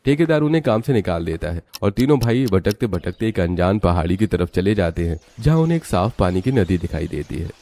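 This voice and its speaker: A man's voice: deep voice, big pitch swings, fairly quick, few pauses, dark tone. A man narrating a scripted village story for a cartoon.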